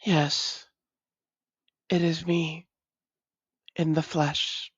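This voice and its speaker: A woman plays a wry female vampire with a low voice, devoted to a long-lost love. She speaks slowly and formally, in an intimate roleplay style. The sound is close, dry and slightly dull. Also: level tone, husky, no echo, full character voice. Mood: gentle, composed. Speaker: audio roleplay voice actor. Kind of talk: intimate audio roleplay acting.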